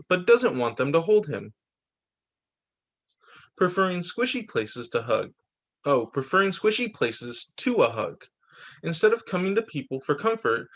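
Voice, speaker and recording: A man reads aloud as a teacher at children's story time, in a fairly high-pitched voice with very lively, swooping intonation. He delivers the text expressively and slips in brief conversational asides to his young listeners. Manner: expressive reading with short spoken asides. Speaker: teacher reading picture books to pupils.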